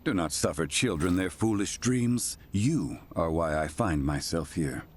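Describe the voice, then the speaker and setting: Haughty low-voiced older sage. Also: male voice, lively intonation, measured pace, a little mellow. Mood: stern. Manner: stern, haughty, archaic phrasing. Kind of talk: video game voice acting, archaic speech.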